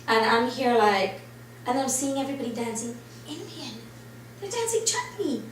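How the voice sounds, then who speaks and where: A woman from Trinidad tells her personal story in an interview, animated and lively as she quotes what people said to her. Her voice sits a little high. It sounds distant, with heavy echo off the room and faint background noise. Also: normal speed. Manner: animated, acts out quoted reactions. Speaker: interview guest.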